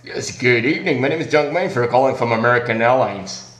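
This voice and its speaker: A man making a prank phone call as an airline agent, with polite but halting scripted patter. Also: medium pitch, a bit bright, echoey room. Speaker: prank caller.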